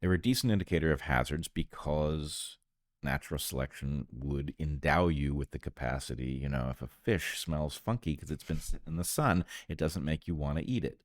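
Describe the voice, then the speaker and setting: A man co-hosting a podcast, explaining his ideas conversationally in deliberate, measured phrases with pauses. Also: a bass voice, no echo, clean studio sound. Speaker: podcast co-host, biologist.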